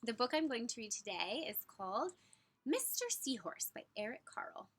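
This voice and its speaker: A woman reading a children's picture book aloud in an expressive, emphatic way, drawing out some words. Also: a little high, husky. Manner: animated, emphatic, with drawn-out words. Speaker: storybook reader.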